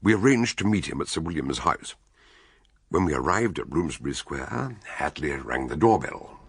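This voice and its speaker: An actor playing a ponderous, learned doctor sleuth who tells his case in a measured, theatrical, literary way in a radio drama. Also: extremely low pitch, big pitch swings, breathy edge, full character voice. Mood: measured narration. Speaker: detective and narrator. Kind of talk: radio drama narration and dialogue.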